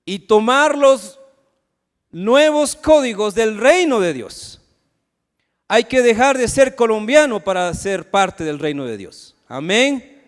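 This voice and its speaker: A man's voice: high voice, animated, slow pace. A man from Ecuador, preaching a sermon as pastor and firing rhetorical questions at the congregation. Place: church sanctuary. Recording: long hall reverb.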